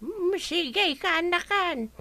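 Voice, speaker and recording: A fussy old village woman in a radio comedy, her voice piercing and high, the words stretched out slowly and bright. The studio sound is dry and close. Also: lively intonation, no echo, full character voice. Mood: chiding. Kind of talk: radio comedy drama acting.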